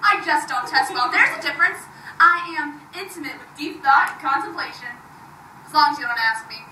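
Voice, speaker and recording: A woman's voice: high-pitched, expressive, a little fast, bright, forceful tone, distant mic, full character voice. A teenage girl playing an articulate, exasperated schoolgirl who narrates with theatrical wryness in readers theater. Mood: indignant. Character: bright, exasperated schoolgirl narrating her own story. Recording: echoey, faintly noisy room from afar.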